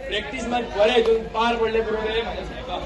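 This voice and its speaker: A man with a Goan accent acting in an amateur stage play as an excitable, grumbling member of a drama group who repeats his phrases. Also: sits high, racing, crowd noise, lightly acted. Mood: dismayed, musing. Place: stage in a large hall, distant microphone. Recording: echoey hall from far off, audience noise.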